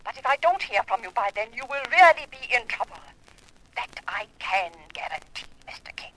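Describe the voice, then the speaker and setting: A woman's voice: a little high, animated, normal speed, background noise, close to an everyday voice. Imperious female client played by an actress, formal, clipped and icily menacing, vintage radio crime drama. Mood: icy. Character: imperious, coolly threatening female client of a detective. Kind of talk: 1950s radio drama acting.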